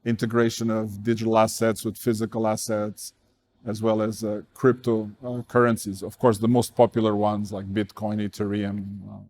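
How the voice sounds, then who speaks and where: A man from Portugal, a guest in an interview, speaking in a measured way with frequent fillers. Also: lowish voice, level tone, darkish tone. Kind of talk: casual interview talk.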